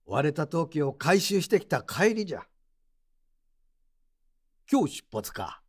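A woman playing a gruff but kindly old craftsman with curt old-man speech in an audio drama. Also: sits low, big pitch swings, unhurried, heavily acted. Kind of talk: Japanese audio drama acting. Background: background music.

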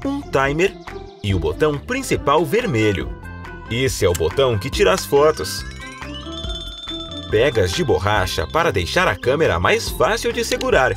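Casting: male storyteller for kids' cartoon, hugely expressive melody, exclamatory guiding tone, music behind. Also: medium pitch, normal speed. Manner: instructive, exclamatory. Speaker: narrator. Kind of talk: children's cartoon narration.

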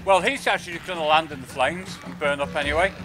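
A man's voice: on the high side, fast, background noise. A British man giving live, irreverent, sweary commentary while streaming a video game. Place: indoors at a home streaming setup. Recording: game sound behind the voice. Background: video game audio.

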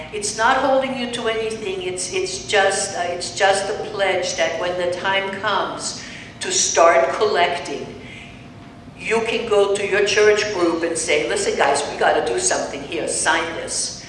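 A woman's voice: on the low side, fairly bright tone. An older woman from Austria with an Austrian accent, earnestly urging a small community meeting to volunteer, speaking into a handheld mic. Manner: earnest, urging, talks with hands. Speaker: meeting organizer. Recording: echoey room, far mic, noise.

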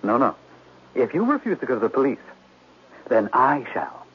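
An actor playing a prim, naive, upright brother in a 1970s radio drama, with fussy, old-fashioned diction. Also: male voice, medium pitch, sing-song, moderate pace, over loud music, close to an everyday voice. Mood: righteous. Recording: muffled, noisy vintage radio broadcast.

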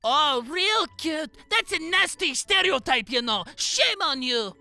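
A woman's voice: sits high, sing-song, unhurried, gravelly, bright, forceful tone, heavily acted. A woman voicing a small, sleepy, grumpy cartoon bat with a snippy, scolding manner. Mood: indignant. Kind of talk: comic dub cartoon acting.

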